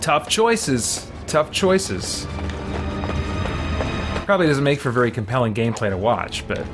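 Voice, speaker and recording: A man's voice: mid-range voice, sing-song, a little slow, over loud music. A man doing casual game livestream commentary, thinking aloud and muttering short remarks to himself as he chats to viewers.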